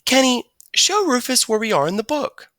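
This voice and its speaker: A man playing a brisk woman teacher. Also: very high-pitched, very animated, fairly bright tone, dry recording, studio quality, voice pushed up, exaggerated voice. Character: brisk grown woman schoolteacher.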